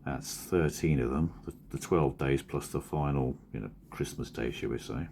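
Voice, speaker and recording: Hoarse man, very deep husky voice, rambling, slight background hiss. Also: moderate pace, darkish tone. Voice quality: croaky, husky. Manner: rambling, self-correcting, drowsy. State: hoarse from a cold. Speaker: cartoonist vlogger. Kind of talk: casual studio vlog talk.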